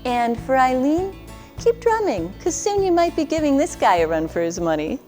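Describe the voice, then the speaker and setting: A woman's voice: high-pitched, big pitch swings, normal speed. Effusive woman hosting a talk show. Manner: warm, effusive. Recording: echoey studio with faint music.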